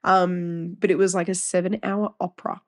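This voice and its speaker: A woman's voice: fairly low pitch, flat delivery, moderate pace. An Australian woman co-hosting a casual podcast, her voice nasal from a cold, playful and teasing as she chats. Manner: playful, teasing, laughs often. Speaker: podcast co-host. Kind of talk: casual chat.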